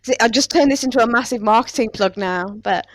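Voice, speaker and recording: Higher-pitched woman hosting, close and dry. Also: fairly quick, no echo. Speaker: podcast host. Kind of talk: casual podcast chat.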